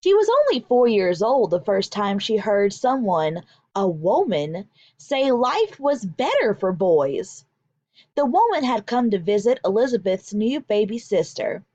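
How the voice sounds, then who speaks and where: A woman teacher reading aloud to children in an expressive, deliberate, emphatic way. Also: medium pitch, no echo, muffled recording. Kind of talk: expressive children's read-aloud with vocabulary explanations.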